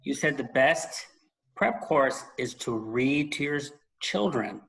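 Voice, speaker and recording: Male host, mid-range voice, conversational but emphatic, using deliberate dramatic pauses. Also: medium pitch, animated, vocal fry, a bit bright, poor audio. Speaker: interview host. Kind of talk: conversational interview.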